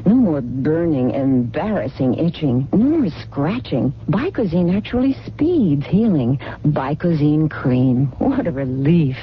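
A woman's voice: low voice, expressive, measured pace, hardly any pauses. A woman giving a scripted testimonial in a radio commercial. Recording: dull, lightly noisy radio broadcast.

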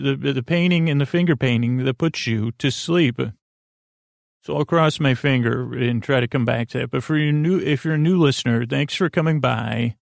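A man hosting a sleep podcast in a soothing, creaky voice, rambling through tangents with many fillers and restarts. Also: medium pitch, no room sound, studio quality. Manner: meandering, full of fillers and restarts. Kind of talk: rambling bedtime monologue.

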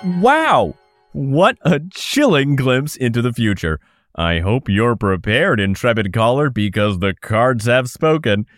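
A man's voice: mid-range voice, expressive, unhurried, no echo, soft background music, close to an everyday voice. A man playing a showy, upbeat telethon host with television patter.

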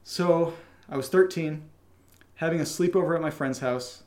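A man's voice: fairly high pitch, normal speed, a little mellow. A man co-hosting a casual question-and-answer chat, telling stories in a playful, self-deprecating way. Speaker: podcast co-host and audio drama writer.

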